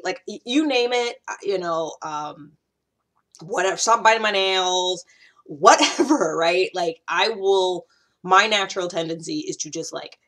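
An American woman in her thirties talking casually to camera, conversational and emphatic, repeating points for stress. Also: on the low side. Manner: conversational, animated gesturing, emphatic repetition. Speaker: YouTube host sharing self-growth advice. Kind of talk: casual direct-to-camera talk.

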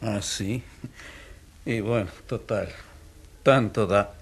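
Beaten-down poor man, drawn out slow, raspy, given up, radio drama. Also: fairly low pitch, full character voice. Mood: resigned, hopeless. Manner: listless, resigned. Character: defeated, apathetic poor male slum dweller. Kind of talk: Spanish-language radio drama acting.

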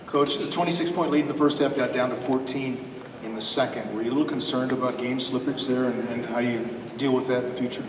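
A man's voice: medium pitch, fairly even intonation, fast. A man working as a reporter, asking direct, to-the-point questions. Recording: echoey hall, distant mic, room noise.

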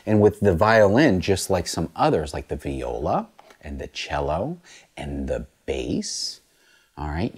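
A man in his thirties reading a picture book aloud and teaching children about musical instruments in an animated, sing-song way, recorded on a lapel mic in a small studio room. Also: low voice, husky.

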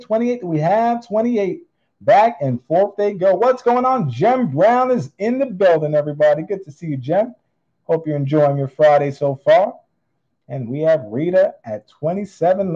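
A man works as an online auctioneer, drawing out his calls in a theatrical singsong. His voice is on the high side and swings widely in pitch. The sound is slightly dull and lo-fi. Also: mellow. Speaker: online auctioneer, channel host. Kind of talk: live online coin auction calling. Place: indoors at a tabletop display.

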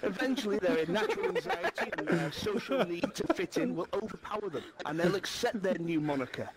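Joking man, lively higher voice. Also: brisk, over a noisy crowd, rough recording. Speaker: community player. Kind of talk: casual chat.

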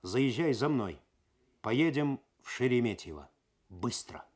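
A man voicing a brisk, gruff senior police detective in a businesslike, low-key way. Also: very animated, a little mellow. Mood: thoughtful, commanding.

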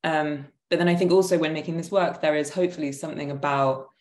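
A woman's voice: low-pitched, steady tone, fast talker, a little mellow. A woman in her thirties thinking aloud tentatively as a panelist, on a video call in an echoey room. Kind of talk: reflective discussion. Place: room at home, indoors. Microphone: video call, device at desk distance.

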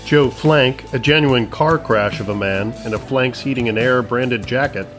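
A man's voice: medium pitch, moderate pace. Male narrator over music. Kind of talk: scripted comedy narration, present tense.

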